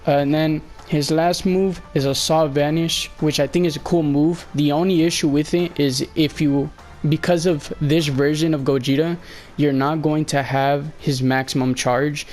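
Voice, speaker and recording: A man's voice: fairly high pitch, level tone, normal speed, darkish tone, soft background music. A young man with a slightly sick, fading voice, casually talking over gameplay, rambling and hesitant with many fillers. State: slightly sick, losing his voice. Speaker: gameplay commentator. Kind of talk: casual game walkthrough voice-over.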